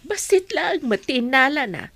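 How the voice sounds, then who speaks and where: A woman's voice: high voice, very animated, a little slow, bright, forceful tone, no room sound, soft background music, full character voice. A woman with an Ilocano accent playing a caring, protective older rural mother in a radio drama. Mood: defensive.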